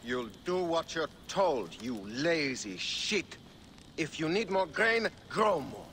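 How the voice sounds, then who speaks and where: An actor playing a bullying, corrupt, contemptuous army captain in a dramatic game cutscene with noisy ambience. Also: male voice, a little high, big pitch swings, takes it slowly, a bit bright, heavily acted.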